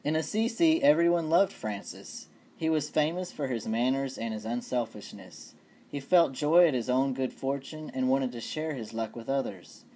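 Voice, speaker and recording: A storyteller with a mid-range voice reads to all ages from a children's book. The delivery is playful and expressive, as if speaking straight to young listeners. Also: medium pitch, moderate pace, a little hiss or hum, poor audio. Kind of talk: children's story read aloud.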